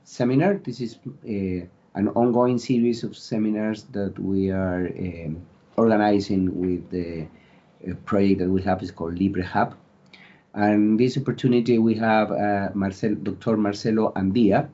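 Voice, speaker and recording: A man hosts a seminar and introduces a guest speaker, pausing often on filler sounds, over a video call. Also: fairly low pitch, steady tone, warm tone. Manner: hesitant, many filler sounds. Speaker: seminar host. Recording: dull lo-fi audio.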